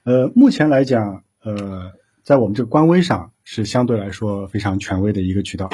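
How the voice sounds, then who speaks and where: A man answering as a police officer guest, explaining things informally in a measured way. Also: on the low side, dark tone, breathy edge.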